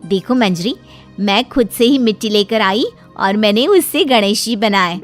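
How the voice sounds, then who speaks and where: A woman's voice: mid-range voice, expressive, a little slow, faint music behind, lightly acted. A woman voicing an earnest, persuasive female friend in a cartoon moral story. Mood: earnest, persuading.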